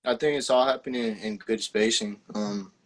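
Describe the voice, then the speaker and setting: A teenage man, a young footballer whose first language is Spanish, answering interview questions in a relaxed, halting way with many fillers and restarts. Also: lowish voice, low-quality recording. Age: teens. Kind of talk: casual interview.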